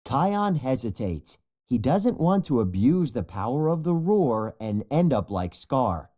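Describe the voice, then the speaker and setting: Measured, lively storybook narrator with mellow tone. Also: mid-range voice. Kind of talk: scripted children's storybook narration.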